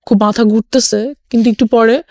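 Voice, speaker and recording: A woman's voice: fairly high pitch, steady tone, a little fast, a little mellow, no echo, clean studio sound. A young Bangladeshi woman telling her personal story as a radio interview guest, mixing English phrases into her speech. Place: radio studio.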